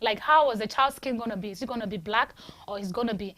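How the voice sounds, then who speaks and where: A woman's voice: fairly high pitch, quick pace, sharp tone. Chatty Ghanaian-born woman host. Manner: chatty, restarts often, emphatic. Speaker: radio show host. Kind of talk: casual call-in discussion.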